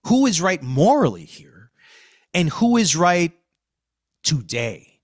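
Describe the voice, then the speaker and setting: A man with a fairly high voice hosts a podcast discussion. He speaks unhurriedly and emphatically, with very lively intonation, like someone talking through a review. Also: slow, dry recording, studio quality. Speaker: podcast host.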